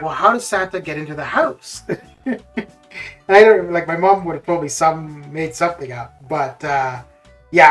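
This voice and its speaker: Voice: medium pitch, a bit bright. Casual streamer chatting over music. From Canada, born in the Caribbean. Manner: chuckles often at own remarks. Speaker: live game streamer. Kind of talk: casual chat while streaming. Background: music with guitar.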